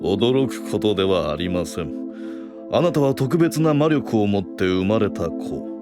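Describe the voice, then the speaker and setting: Solemn village elder, low expressive voice. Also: male voice, unhurried, music in the background. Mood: calm, assuring. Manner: formal, grave, polite. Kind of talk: formal fantasy voice drama acting.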